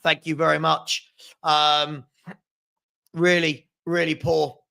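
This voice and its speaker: High-pitched, hoarse British male fan host. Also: flat delivery, slow pace, sharp tone. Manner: exasperated, emphatic, repeats phrases for effect. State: hoarse, losing his voice. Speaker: host of football watchalong stream. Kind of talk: live fan commentary, reading viewer comments.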